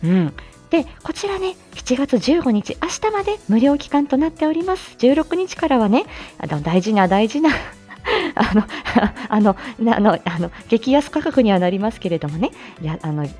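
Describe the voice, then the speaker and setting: A woman's voice: fairly high pitch, very animated, normal speed, a little mellow, soft background music. A woman hosting a casual morning live chat, chatty and giggly, politely explaining and slipping in playful asides. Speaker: live audio show host; speech-language therapist.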